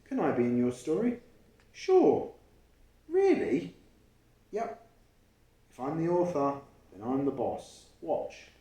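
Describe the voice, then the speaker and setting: A man voicing an eager, imaginative young schoolboy from a storybook, reading with expressive emphasis. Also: fairly high pitch, slow pace, pauses often, heavily acted. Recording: roomy, echoey home recording.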